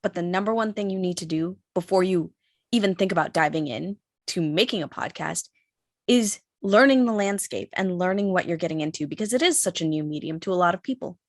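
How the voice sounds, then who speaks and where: A woman on a discussion panel, giving measured advice as an audio drama creator. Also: on the low side, lively intonation, no echo, clean studio sound.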